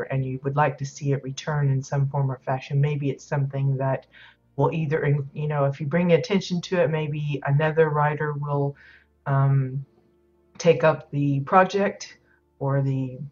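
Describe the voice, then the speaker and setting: Woman, low voice, hoarse, stuffed up, rambling livestream chat, slightly dull audio. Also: a little mellow. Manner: rambling, many ums and uhs. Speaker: game livestream host.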